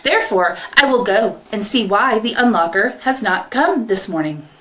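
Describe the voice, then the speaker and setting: A woman voicing a big, logical farm robot with a stiff, measured, superior robotic delivery. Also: medium pitch, animated, fairly bright tone, pitched above the performer's own voice, natural voice. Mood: flat, officious.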